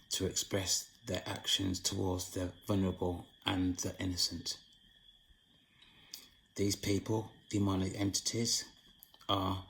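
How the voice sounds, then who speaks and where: A hoarse man hosting his own channel, giving a solemn spiritual monologue in a slow, halting way with long pauses. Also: low-pitched, a bit bright, slight background noise, low-quality recording. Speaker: channel host.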